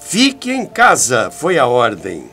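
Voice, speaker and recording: A man's voice: mid-range voice, wildly swooping pitch, takes it slowly, bright, forceful tone, faint music behind. An older Brazilian man presenting scripted narration in a dramatic, emphatic storytelling manner.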